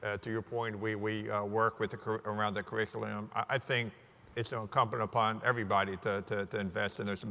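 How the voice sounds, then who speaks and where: A man on a business panel speaks haltingly and repeats words. His voice is low, flat and bright. A long hall reverb follows it. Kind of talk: panel discussion.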